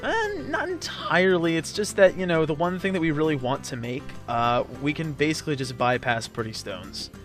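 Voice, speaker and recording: A man's voice: a little high, lively intonation, a little fast, few pauses, music in the background. A man giving casual, chatty, joking gameplay commentary in a rambling way, as on a let's play.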